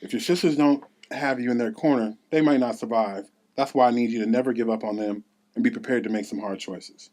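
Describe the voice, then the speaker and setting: A man's voice: mid-range voice, fairly quick, darkish tone, natural voice. Tender mother carrying a heavy duty read by a man, earnestly urging her child, script read-through. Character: tender, burdened mother urging daughter to lead.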